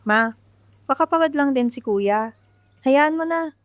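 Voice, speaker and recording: A woman's voice: high voice, a little slow, no echo. A woman with a Filipino accent playing a casual, teasing young sister in an amateur radio drama. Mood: tired, casual.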